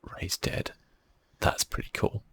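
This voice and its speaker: A man giving soft-spoken ASMR commentary on a video game in a murmured, laid-back way, as if thinking aloud. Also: slow pace. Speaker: gaming channel host. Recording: faint music behind.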